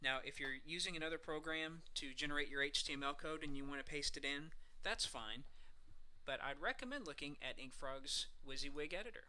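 Bright, forceful man presenting a software tutorial. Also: fairly high pitch, brisk, slight background noise, low-quality recording. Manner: casual, explanatory, step by step. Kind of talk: screencast walkthrough narration, semi-scripted.

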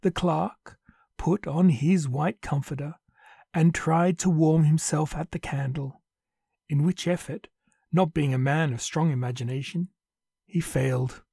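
Guy narrating a classic tale, dark mellow voice, dry close sound. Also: on the high side, fairly even intonation, unhurried, gravelly, husky, dry recording. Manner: theatrical, savoring words. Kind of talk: dramatic audiobook narration.

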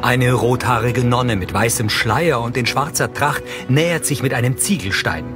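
A man's voice: medium pitch, moderate pace, over music. A man reading scripted audio description as a narrator.

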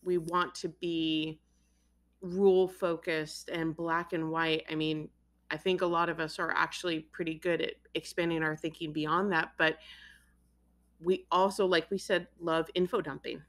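A woman's voice: deep voice, level tone, a little slow, fairly bright tone. A woman hosting an interview, asking questions and explaining ideas at length with enthusiasm. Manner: enthusiastic, talks in long explaining runs. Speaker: interviewer, host. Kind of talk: casual interview chat.

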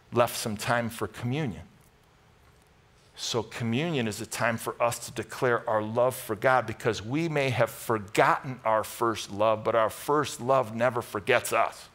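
A man's voice: lowish voice, a bit bright. A middle-aged American man preaching as a pastor in an earnest, informal, conversational way to a congregation. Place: large church auditorium, speaking from a stage.

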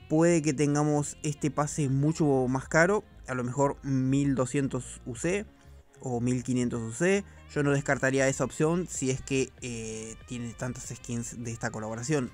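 A man's voice: medium pitch, moderate pace, darkish tone, soft background music. A man giving casual, slangy commentary on video game news, with the odd swear word. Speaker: gaming YouTuber.

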